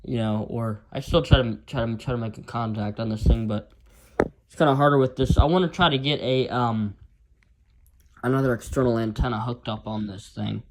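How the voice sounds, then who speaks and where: An American man giving a casual, unscripted product demonstration as an amateur reviewer, rambling and halting in an informal way. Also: mid-range voice, darkish tone, slight background noise, rough recording. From United States.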